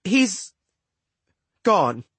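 A man's voice: high-pitched, big pitch swings, extremely slow, a bit bright, slightly rough, no echo, voice pushed up, exaggerated voice. A man voicing a feisty, sarcastic young sister who hides her hurt, in an audiobook with wry, snappy lines.

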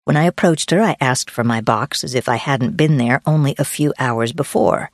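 A woman's voice: very deep voice, moderate pace, no room sound, clean studio sound. A woman reading a first-person memoir as a scripted audiobook narration.

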